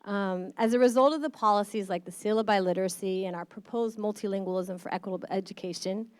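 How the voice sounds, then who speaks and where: A woman's voice: medium pitch, quick pace, clean tone, no room sound. Middle-aged woman, earnest, passionate, conference speech, education board member, dry sound. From Midwestern United States.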